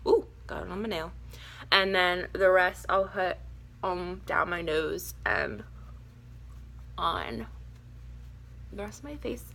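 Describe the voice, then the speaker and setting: A young woman with a raspy, hoarse voice from a cold, chatting casually and a little nervously through a makeup tutorial. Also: fairly low pitch, slow pace, pauses often, a bit bright. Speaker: beauty vlogger.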